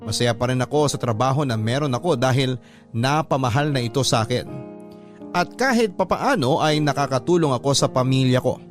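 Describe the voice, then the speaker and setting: A man reading a heartfelt letter as scripted radio storytelling, measured and reflective. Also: mid-range voice, faint music behind, lightly acted. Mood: earnest, reflective. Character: letter sender's story read warmly by male radio narrator.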